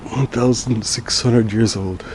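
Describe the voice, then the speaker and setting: A man's voice: mid-range voice, fairly even intonation, a little mellow, a little hiss or hum. A German man guiding viewers through an old church, hesitating with frequent ums. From Germany (Bamberg, Franconia). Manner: hesitant, many ums and pauses. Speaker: travel vlogger. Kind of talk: casual guided commentary. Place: inside a church.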